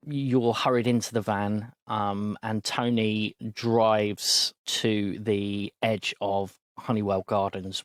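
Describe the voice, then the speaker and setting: A man recapping a tabletop game session as game master, relaxed and full of ums. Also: lowish voice, no room sound.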